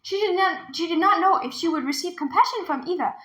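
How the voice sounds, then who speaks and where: Lady doing expressive storytime narration, high bright voice, bit echoey. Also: fairly quick. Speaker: storytime reader. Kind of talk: expressive storybook read-aloud.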